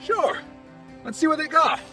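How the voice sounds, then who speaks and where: An actor playing an easygoing, wry adventurer with a husky voice in an audio fantasy drama. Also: high voice, big pitch swings, a little slow, bright, forceful tone, faint music behind, exaggerated voice. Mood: curious. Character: teasing, easygoing armored adventurer.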